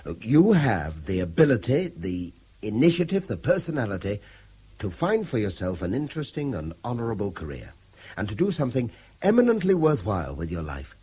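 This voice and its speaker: An actor playing a stern, educated middle-aged English headmaster, formal and lecturing, in a British radio drama. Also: male voice, fairly low pitch, wildly swooping pitch, fairly quick, warm tone, slightly rough, heavily acted. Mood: stern, concerned. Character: stern, educated male headmaster figure, commanding and concerned.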